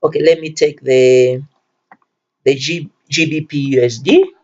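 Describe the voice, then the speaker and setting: A man's voice: on the high side, steady tone, a little slow, a little mellow. A man with a Zambian accent giving an informal video tutorial, speaking earnestly and repeating phrases for emphasis. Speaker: self-taught forex trader presenting a tutorial. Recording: dull, lo-fi home recording.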